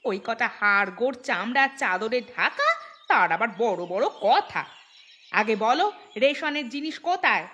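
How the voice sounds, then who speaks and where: A woman's voice: a little high, expressive, normal speed, pushed and bright. A woman voicing a gluttonous, scolding, melodramatic wife of a poor farmer in a cartoon fairy tale. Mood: indignant, scolding. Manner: nagging, melodramatic, indignant.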